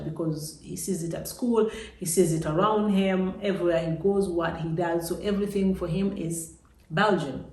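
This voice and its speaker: A Ugandan-accented woman speaks on the lower side, in a reflective vlog style. She addresses listeners directly and repeats words for emphasis. The room echoes clearly and she sounds some way from the microphone. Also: a little mellow, far from the mic. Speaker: vlogger, host. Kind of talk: personal vlog, reflective talk to viewers.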